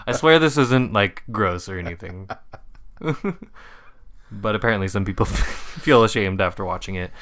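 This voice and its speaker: Podcast co-host, male: low, smooth delivery, relaxed banter, frequent laughter. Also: moderate pace. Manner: reads comments aloud, laughs often. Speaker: podcast co-host running a quiz segment. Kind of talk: casual podcast chat.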